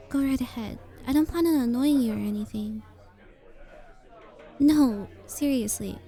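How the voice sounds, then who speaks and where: Shy young female cafe regular, played by a woman for ASMR roleplay: gentle, earnest, hesitant, higher-pitched with a warm, relaxed tone. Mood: shy, nervous. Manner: shy, hesitant, softly earnest, sometimes dropping to a whisper. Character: very shy, sweet young female coffee shop regular who writes.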